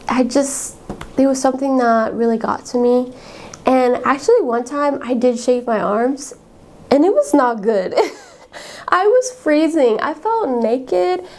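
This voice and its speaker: A young woman with a noticeably high-pitched voice, hosting a podcast and sharing personal stories. She talks in a candid, rambling way, full of "like" and "um", and laughs between her thoughts. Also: darkish tone. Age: young adult. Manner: candid and chatty, fillers like "like" and "um", laughs often. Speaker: podcast host. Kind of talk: casual personal storytelling.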